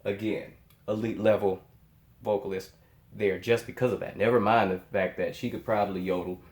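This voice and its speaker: An American man giving casual, off-the-cuff reaction commentary as a channel host, emphatic and repeating himself, often halting as he searches for words. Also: on the low side, darkish tone. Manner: emphatic, repeats phrases in amazement. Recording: echoey, roomy sound.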